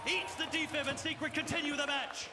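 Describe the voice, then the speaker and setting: A man commentating a live esports match, his voice climbing with excitement as the action builds. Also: brisk, music in the background, crowd noise. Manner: excitable, climbing in pitch as plays unfold. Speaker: esports caster.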